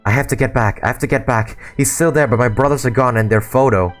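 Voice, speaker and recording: A hoarse man reading aloud the lines of a troubled young female soldier who is searching for her lost brothers. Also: mid-range voice, quick pace, faint music behind, close to an everyday voice. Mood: distressed. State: hoarse from a sore throat.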